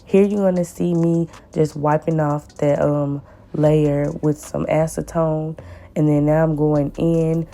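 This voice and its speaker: A woman with a hoarse, raspy voice from the flu, chatting casually as she narrates a nail tutorial and repeats words for emphasis. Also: flat delivery, measured pace. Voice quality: raspy and deep. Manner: repeats words for emphasis, warm pleading asides. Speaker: nail tech vlogger.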